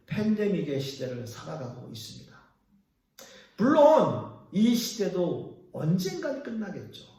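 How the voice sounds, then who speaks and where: Animated high-voiced preacher in an echoey church. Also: male voice. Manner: earnest preaching with pauses, swinging between raised and hushed lines. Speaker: pastor. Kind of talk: sermon. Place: church sanctuary. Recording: echoey church hall from a distance.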